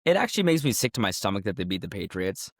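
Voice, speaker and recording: A man's voice: on the low side, very animated, quick pace, no echo, clean studio sound. A man co-hosting a sports podcast in casual banter, joking and laughing often, a little under the weather. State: sick, recovering from illness. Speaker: podcast co-host.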